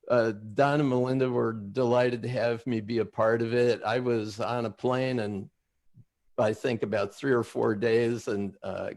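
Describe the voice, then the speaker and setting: Gravelly-voiced man guest from Minnesota. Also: medium pitch, darkish tone. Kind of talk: casual chat.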